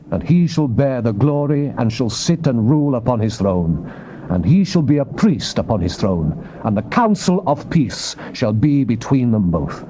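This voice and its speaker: An actor playing a solemn Old Testament prophet in a dramatized scripture reading, proclaiming God's word in a declamatory, theatrical manner. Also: male voice, medium pitch, natural voice. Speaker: Bible narrator. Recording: noisy old cassette tape transfer.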